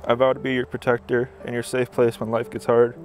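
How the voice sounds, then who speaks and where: A young man reads wedding vows in an earnest, very flat voice that hardly moves in pitch. Music plays clearly underneath him as he speaks. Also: a little fast. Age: young adult. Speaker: groom. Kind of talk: reading written wedding vows. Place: outdoor wedding ceremony.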